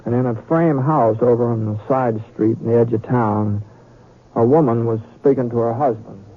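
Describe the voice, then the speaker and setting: An actor playing a homespun western storyteller, narrating a radio drama in a halting, conversational way. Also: male voice, mid-range voice, close to an everyday voice. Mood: folksy, wry. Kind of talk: old-time radio storytelling. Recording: tinny radio sound with crowd noise.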